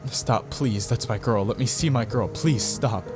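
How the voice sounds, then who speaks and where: Man acting a distraught, shouting young man. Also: on the low side, fairly even intonation, a little slow, over music, natural voice. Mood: desperate, screaming. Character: distraught young man screaming for his girlfriend.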